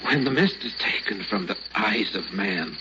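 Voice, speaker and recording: An actor playing a gentle, selfless old desert prophet in an old-time radio drama, speaking in solemn scriptural phrases. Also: male voice, on the low side, lively intonation, normal speed, lightly acted. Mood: weary, prophetic. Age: older. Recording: dull old radio recording, noisy background.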